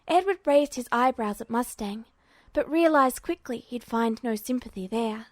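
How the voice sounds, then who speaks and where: A woman reads fiction aloud as a narrator. Her voice sits on the high side, and the recording is dry with very little room sound. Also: expressive, normal speed, no room sound. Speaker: podfic narrator.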